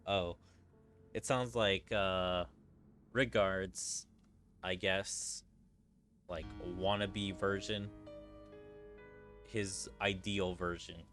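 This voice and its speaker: Male gaming streamer, slightly deep, very slow halting delivery, casual commentary with soft game music underneath. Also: fairly even intonation. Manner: halting, thinking aloud casually. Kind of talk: reading game dialogue aloud with off-the-cuff commentary.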